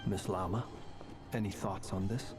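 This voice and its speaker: Courteous, inquisitive game strategist. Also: male voice, deep voice, steady tone, music in the background. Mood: polite, probing. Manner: polite, eloquent, deliberate. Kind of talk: video game cutscene acting.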